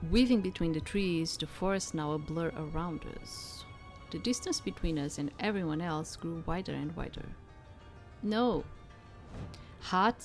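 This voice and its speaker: A woman game streamer with a slightly low voice reads a fantasy visual novel aloud. She takes it slowly and dramatically, dropping into casual asides, with music behind her. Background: soft game music.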